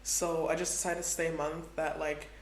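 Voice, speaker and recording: Young male travel vlogger, high and fairly flat delivery, echoey room, faint background hum. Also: normal speed. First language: Portuguese is his second language. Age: 20s. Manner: chatty, heavy use of "like". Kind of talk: casual travel vlog.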